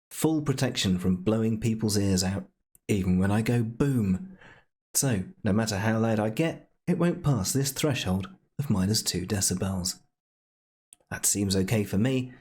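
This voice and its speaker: Low-voiced, husky man, playful tutorial narrator. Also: a little mellow. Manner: playful, jokey, chatty asides. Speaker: tech tutorial presenter. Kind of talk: casual software tutorial narration.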